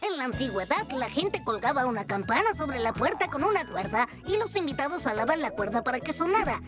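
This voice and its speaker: Brainy young cartoon creature: high, animated, instructive, careful, over music. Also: female voice, fairly quick. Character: thoughtful, knowledgeable young cartoon Fixie. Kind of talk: children's cartoon dub, educational explanation. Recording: music playing behind the voice.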